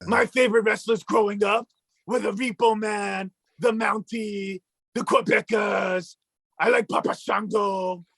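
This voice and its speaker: A man voicing a boastful mustached male puppet who shouts and brags like a cartoon villain, with a gravelly, husky voice. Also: high-pitched, steady tone, unhurried, no room sound, heavily acted. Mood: bragging. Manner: shouting, bragging, mock-villainous. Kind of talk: comedic puppet bit on a talk show.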